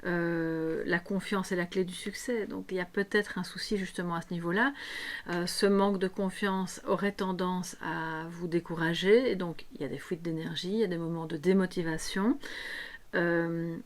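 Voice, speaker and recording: A slightly hoarse woman hosting a tarot guidance video, speaking unscripted to her viewers in an explanatory way and often checking in with them. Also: fairly low pitch, fairly even intonation, normal speed.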